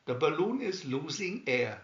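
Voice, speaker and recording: Male narrator for children's picture stories. Unhurried, very expressive delivery; dull-sounding home recording. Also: on the high side, echoey room. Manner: deliberate, with emphatic swoops on key words. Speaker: storybook reader. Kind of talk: scripted children's story narration, read aloud slowly and expressively.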